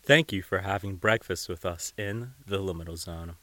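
A man's voice: deep voice, moderate pace, pushed and bright, no echo. A man hosting a podcast solo in a casual, informal style, gushing and giggly with enthusiasm. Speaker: podcast host. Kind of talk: casual solo podcast chat, recommending shows.

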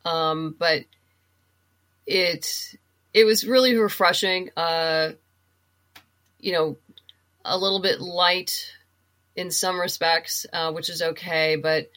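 A woman with a fairly low voice chats as a guest in a casual interview. She talks freely and informally, pausing and restarting as she gathers her thoughts. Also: fairly even intonation, fairly bright tone. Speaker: guest, comic book writer and novelist. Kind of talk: casual interview chat, giving reading recommendations.

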